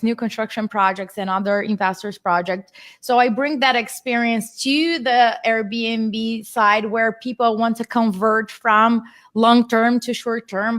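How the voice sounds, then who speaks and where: Brazilian lady on a video call, kinda high voice, earnest, explains step by step. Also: a bit bright. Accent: Brazilian Portuguese. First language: Portuguese. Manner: earnest, explanatory, slightly non-native phrasing. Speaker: guest speaker, real estate investor. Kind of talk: casual interview.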